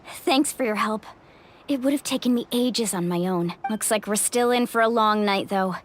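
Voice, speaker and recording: An actress voicing a brave, upbeat young woman warrior guide in a video game, brisk, confident and energetic. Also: on the high side, close to an everyday voice. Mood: determined. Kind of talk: animated video game voice acting.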